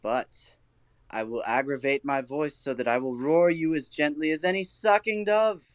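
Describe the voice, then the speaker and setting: A man's voice: on the high side, fairly even intonation, moderate pace, smooth, no echo, natural voice. A man playing a boastful, eager workman and amateur actor, showy and theatrical, in a comic Shakespearean audio play.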